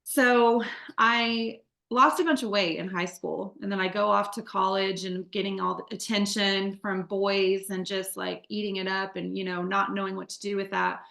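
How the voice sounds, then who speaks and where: A woman's voice: medium pitch, steady tone, moderate pace, creaky, a bit bright, roomy sound. A woman in her forties from the Midwest, sharing her life story as an interview guest in a candid, chatty way with many fillers and restarts. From Midwest United States. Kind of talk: conversational testimony.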